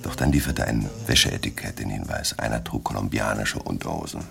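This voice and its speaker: An actor playing a weary, fearful but dogged middle-aged crime writer, brooding and wry, in a German radio drama. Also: male voice, very deep voice, expressive, fairly quick, music in the background, full character voice. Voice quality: gravelly.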